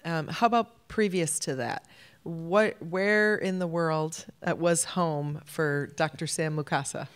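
A woman's voice: low-pitched, steady tone, takes it slowly, clear, resonant tone. A middle-aged woman hosting a live campus interview, asking questions in a friendly, curious way into a handheld mic. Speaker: university president hosting. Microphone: handheld microphone.

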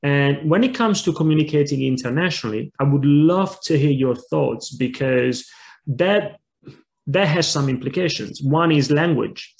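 A man's voice: on the high side, moderate pace, vocal fry, darkish tone. A man in his thirties with an Italian accent hosts a podcast over a video call. His questions wander and he often restarts words before finding his point. He talks from a room at home into an earphone mic. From Italy. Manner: rambling, repeats words when starting. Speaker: podcast host. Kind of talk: interview questions. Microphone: wired earphone mic on video call.

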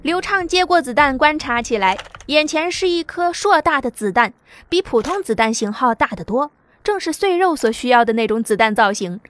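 A woman giving scripted audiobook narration with an even storytelling delivery. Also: sits high, moderate pace, faint music behind.

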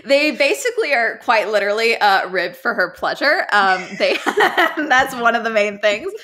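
Bright, high-voiced young woman chatting. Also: animated, moderate pace. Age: young adult. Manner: giggly, candid. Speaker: podcast guest. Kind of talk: casual comedic chat. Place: living room at home.

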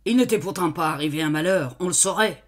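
A woman voicing a brutish, stubborn middle-aged farmer who loudly interrogates his servant girl in a storybook reading. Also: lowish voice, big pitch swings, takes it slowly, exaggerated voice. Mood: puzzled. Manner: loud, angry, interrogating.

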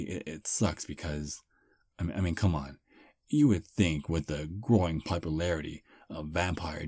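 A man voicing a friendly 215-year-old male vampire on a first date in an ASMR roleplay, soft-spoken and slightly halting. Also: low voice, dry recording.

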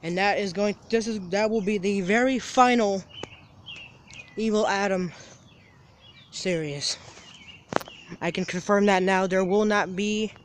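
Teen male vlogger, high voice, rambling and repetitive, phone mic outdoors with light background noise. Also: a little slow, pauses often. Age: teens. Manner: rambling, repeats himself, restarts sentences. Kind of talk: casual daily vlog. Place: outdoors by a parking lot and lawn. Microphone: phone held at arm's length.

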